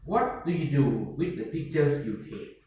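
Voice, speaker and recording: A man's voice: on the high side, lively intonation, unhurried, warm tone. A man acting as an examiner, asking scripted interview questions in a formal way. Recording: echoey room with faint noise.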